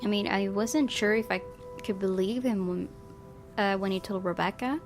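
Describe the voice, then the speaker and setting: A woman streamer reads a visual novel aloud, slipping between narration and casual commentary. Her voice is medium in pitch, with game music clearly audible behind it. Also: steady tone, moderate pace. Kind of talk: reading game text aloud with casual reactions.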